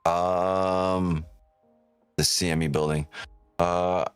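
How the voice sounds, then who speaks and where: Very deep-voiced man talking trading live. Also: flat delivery, very slow, clear, resonant tone, no echo. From Ukraine. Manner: casual and profane, keeps asking "right?". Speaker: day-trading streamer. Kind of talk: live-stream trading commentary, reading chat aloud.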